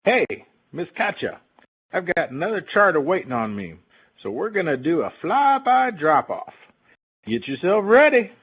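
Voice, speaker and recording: A man playing a glib, sleazy shuttle pilot in a game-based audio drama, smooth-talking like a salesman. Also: sits high, big pitch swings, normal speed, fairly bright tone, full character voice, rough recording. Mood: brisk, cheeky. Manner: smooth-talking, falsely reassuring salesman patter. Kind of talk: fan audio drama, game-story character acting.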